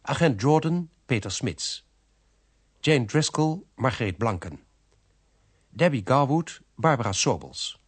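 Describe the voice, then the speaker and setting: Male radio drama announcer, formal and measured scripted read, slightly husky voice, animated intonation. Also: medium pitch. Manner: formal, measured list reading. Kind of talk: scripted closing credits announcement for a radio play.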